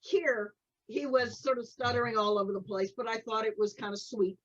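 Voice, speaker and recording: A woman chatting casually as a podcast panelist, thoughtful and halting, on dull call audio. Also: a little high, fairly bright tone. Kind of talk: casual chat.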